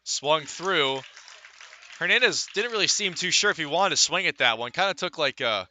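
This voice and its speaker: A male sports announcer gives live radio play-by-play of a baseball game. His voice sits a little high and has a very sharp, forceful edge. He paces out his calls in measured phrases, leaving pauses between plays. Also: animated, slight background noise. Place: live at a ballpark.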